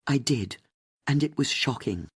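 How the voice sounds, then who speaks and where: A narrator playing a haughty, animated older English lady. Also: medium pitch, a little slow, breathy edge. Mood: gossipy, indignant. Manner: opinionated, brazen, disdainful. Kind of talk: audiobook character dialogue.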